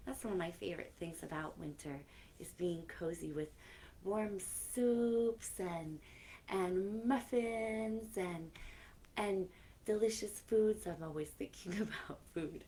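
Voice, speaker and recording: A woman's voice: mid-range voice, takes it slowly, dark tone. A woman hosting a children's library story time, reading picture books aloud expressively with chatty asides, on a noisy live-stream recording.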